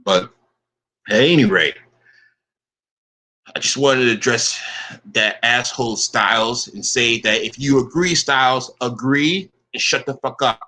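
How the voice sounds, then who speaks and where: Man, bright sharp voice, angry vlog rant, confrontational, heavy swearing. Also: mid-range voice, a little slow, long pauses. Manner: confrontational, profane, repeatedly says "bro"; says he keeps the same voice with everyone. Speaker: online commentator.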